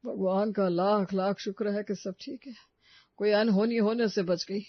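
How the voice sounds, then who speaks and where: A woman voicing a kindly older father-in-law who gives gentle instructions in a cartoon story. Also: mid-range voice, steady tone, unhurried, no room sound, dull audio, close to an everyday voice. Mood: relieved.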